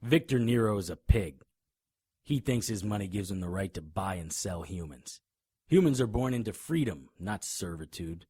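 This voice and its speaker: Male actor as stubborn investigator, low-pitched, first-person noir narration, audio drama, dry, hard-boiled, brooding. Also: lively intonation, moderate pace, warm tone, breathy edge, no room sound. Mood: defiant. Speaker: narrator and lead character.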